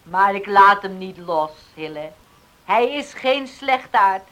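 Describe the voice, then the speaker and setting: A mocking, strong-willed farm widow, animated. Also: female voice, mid-range voice, takes it slowly. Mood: defiant. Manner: teasing, outspoken, defiant. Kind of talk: radio drama acting.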